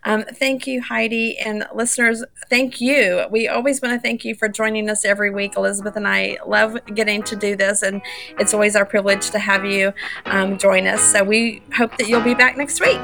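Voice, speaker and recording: A woman's voice: medium pitch, fairly even intonation, moderate pace, vocal fry, over music, rough recording. A middle-aged woman co-hosting a podcast, talking in a gushing, chatty way with frequent fillers and restarts. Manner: warm, gushing, hesitant filler words. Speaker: podcast co-host. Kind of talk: casual podcast chat.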